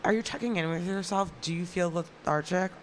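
A woman's voice: lowish voice, fairly quick, a little hiss or hum. A young adult woman chatting casually and gossipily as a podcast co-host, emphatic and leaning on filler "like". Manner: gossipy, emphatic, heavy use of "like". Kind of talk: casual chat.

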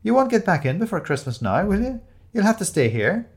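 A man's voice: high-pitched, sing-song, fairly quick, voice pushed up. A man voicing a chatty, bossy young woman who gushes in breezy, emphatic run-on chatter for an audio storybook. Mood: gossipy, indignant. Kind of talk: audio storybook character dialogue.

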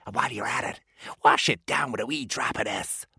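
Give me a man playing a husky, folksy old brewer in a storybook, soft and rambling. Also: mid-range voice, brisk, dry recording, clean studio sound, full character voice. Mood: hearty. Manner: old-fashioned folksy speech. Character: folksy older man who brews home wine.

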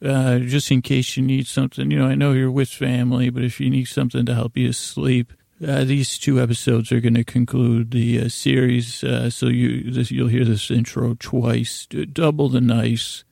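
A man hosting a bedtime podcast in a meandering, halting way, often restarting and correcting his own sentences, with a gravelly voice. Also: medium pitch, level tone, dark tone, no echo, clean studio sound. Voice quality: gravelly, creaky. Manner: meandering, halting, self-correcting with repeated false starts. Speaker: podcast host. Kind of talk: rambling bedtime podcast intro.

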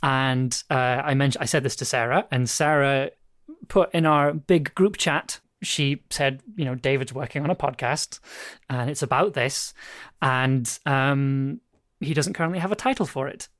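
A British man hosting a casual chat with his parents, self-mocking and laughing often, with halting restarts. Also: medium pitch, fairly bright tone, no echo, studio quality. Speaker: podcast host interviewing his parents.

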